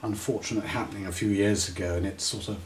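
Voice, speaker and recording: A man's voice: fairly low pitch, steady tone, fast. British male interviewer in a roomy space. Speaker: chat show host, interviewer. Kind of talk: casual chat show interview. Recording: echoey room, distant mic, faint background noise.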